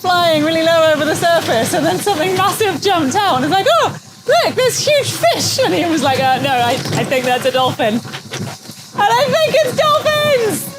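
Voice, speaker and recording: High-pitched woman vlogging from a sailboat. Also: normal speed, very noisy. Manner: animated, expressive, exclamatory. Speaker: sailing vlogger. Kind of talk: casual chat to camera. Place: on a sailing boat at sea.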